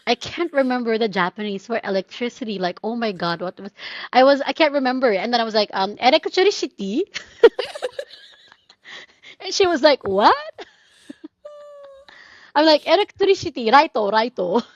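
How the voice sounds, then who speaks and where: A woman co-hosting a casual chat podcast, telling animated anecdotes, acting out quoted voices and laughing often. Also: fairly high pitch, normal speed, pauses often, no room sound, muffled recording. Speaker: podcast co-host.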